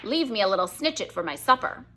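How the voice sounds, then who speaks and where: A woman voicing a big, gentle male giant who talks earnestly in mangled grammar. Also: medium pitch, lively intonation, fast. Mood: sly.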